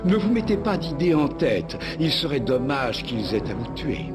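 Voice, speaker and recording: An actor playing a scheming, theatrical villain in a dubbed cartoon, pompous and full of mock courtesy. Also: male voice, mid-range voice, big pitch swings, moderate pace, lightly acted. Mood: smug menace. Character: scheming villain, suave, theatrical, gloating male tyrant. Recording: music under the voice.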